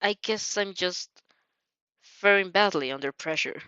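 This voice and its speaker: A woman's voice: lowish voice, a little slow, pushed and bright, clear, resonant tone, no room sound, muffled recording. A woman voicing a bitter, insecure teenage boy who is defensive and mocking, in an audio fanfiction reading. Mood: quiet, regretful. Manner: mocking, muttered, venomous.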